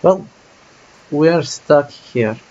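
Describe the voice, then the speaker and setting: A man's voice: fairly high pitch, lively intonation, extremely slow, dark tone, dull audio. A man streaming a game, musing aloud casually about his choices as he plays. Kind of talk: let's play commentary.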